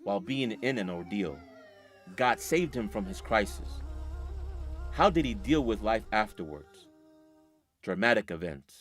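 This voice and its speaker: Deep-voiced male trailer narrator. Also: expressive, measured pace, pauses often, music in the background. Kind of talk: dramatic documentary voice-over.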